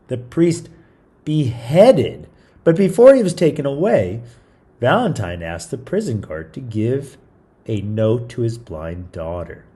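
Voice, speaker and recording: A man's voice: medium pitch, warm tone. A man hosting a story time, reading a picture book aloud in an informal, chatty way with small stumbles and asides. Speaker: read-aloud storyteller, show host.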